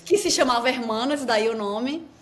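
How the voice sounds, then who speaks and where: A woman's voice: on the high side, normal speed, fairly bright tone. A woman from Salvador with a Bahian accent, an actress co-hosting a podcast, playful and joking in casual chat. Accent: Bahian Brazilian Portuguese. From Salvador, Bahia, Brazil. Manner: playful, joking, laughs easily.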